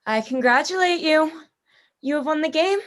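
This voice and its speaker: A woman playing an urbane, cruel general and hunter in a radio play, suave, theatrical and measured. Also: high voice, slight background noise. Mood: coolly amused.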